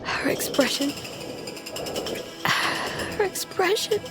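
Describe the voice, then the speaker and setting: A woman playing a terrified child who narrates a disaster at sea in halting, urgent first-person drama. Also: very high voice, animated, heavily acted. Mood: frightened, halting. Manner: halting, repeating words, breathy urgency. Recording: loud dramatic music behind. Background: dramatic music.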